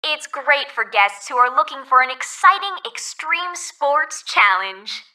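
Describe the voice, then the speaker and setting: A woman's voice: high voice, expressive, a little slow, pushed and bright, heavily acted. A woman playing a polite, cheerful resort ferry guide in a video game, reading scripted tour announcements, recorded slightly distant. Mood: cheerful, informative.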